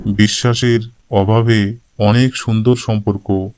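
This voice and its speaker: Slow, smooth-voiced male narrator. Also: lowish voice, level tone, darkish tone, dry recording. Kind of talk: scripted story narration.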